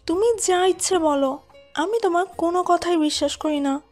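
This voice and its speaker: A woman's voice: very high-pitched, normal speed, a little mellow. A woman playing a lovesick, stubbornly clinging young woman who pleads plaintively in a dramatised romantic audio story. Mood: pleading, lovesick. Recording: voice over a music bed.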